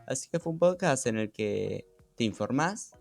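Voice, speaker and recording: A man's voice: mid-range voice, lively intonation, normal speed, vocal fry. A man co-hosting a podcast, explaining things in a conversational way. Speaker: podcast co-host. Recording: music behind the voice.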